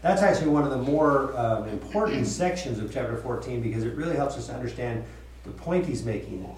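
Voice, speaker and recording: Male teacher, conversational Bible study, lively and slightly high-pitched, distant mic in a roomy hall. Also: brisk, a little hiss or hum. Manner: chatty, laughs often, repeats and restarts. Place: room with group listening. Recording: echoey room, voice from far off.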